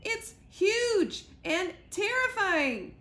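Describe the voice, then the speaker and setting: Woman reading as a shrill, breathless, panicky squirrel; loud, bright, storybook. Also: big pitch swings, drawn-out words, echoey room, exaggerated voice. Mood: panicked. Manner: high shrill shouting, breathless. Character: panicked, boastful male squirrel.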